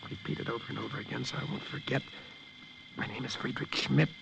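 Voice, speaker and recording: An actor playing an anxious American agent posing as a German soldier in a 1950s radio drama. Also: male voice, mid-range voice, steady tone, fast, gravelly, background noise. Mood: tense recitation. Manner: repetitive, intense self-rehearsal. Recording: vintage radio, music behind.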